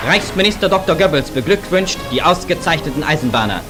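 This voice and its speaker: High-voiced 1940s newsreel man over loud music. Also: animated, fast talker. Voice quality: formal, declamatory announcer delivery. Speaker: newsreel narrator. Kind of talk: 1940s German wartime newsreel narration.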